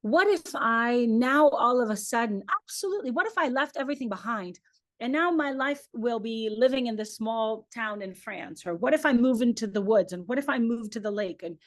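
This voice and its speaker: A woman whose first language is Hebrew, an opera director talking through her ideas in a relaxed online lecture, thoughtful and chatty with many "like"s and "um"s. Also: a little high, normal speed. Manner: thoughtful, chatty, uses "like" and "um" often.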